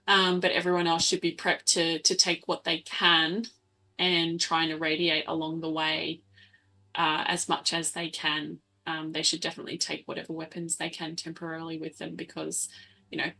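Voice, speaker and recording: A woman plays a tabletop roleplaying game, explaining her moves in casual talk. Her voice sits slightly low and has a bright, clear-cut edge. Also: little pitch movement, normal speed, rough recording.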